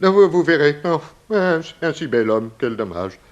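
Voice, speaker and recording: A florid, theatrical gossip in a 1960s French radio drama. His voice sits high and leaps around constantly. He gushes and hesitates over his words, effusive and easily tearful. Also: sing-song, moderate pace, dull audio. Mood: eager. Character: effusive, tearful, gossipy male boarding-house lodger.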